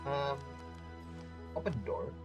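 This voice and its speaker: A man's voice: slow pace, faint music behind. A man giving casual, slangy, jokey commentary as he plays a video game. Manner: jokey, acts out imagined quotes, slangy. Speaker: game streamer.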